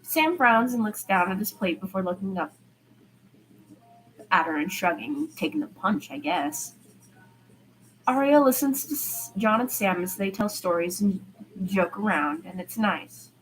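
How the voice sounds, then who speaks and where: A woman reads fiction aloud in an informal, homemade audiobook style. Her pitch sits in the middle for a woman, she occasionally trips over a word, and there is faint noise behind her. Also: lively intonation, normal speed, a bit bright, rough recording. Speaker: podfic narrator. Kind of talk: amateur fiction reading with character dialogue.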